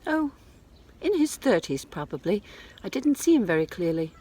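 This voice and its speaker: A woman playing a consoling, opinionated vicar's wife in a dramatized novel, husky and firm. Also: mid-range voice, very animated, moderate pace, warm tone. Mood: assured.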